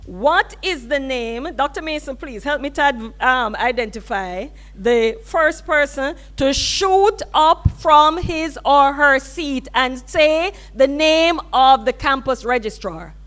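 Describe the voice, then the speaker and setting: A woman's voice: sits high, animated, takes it slowly, few pauses, fairly bright tone. A woman hosting a university orientation in a lecture hall, upbeat and coaxing the audience over a microphone. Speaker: orientation emcee.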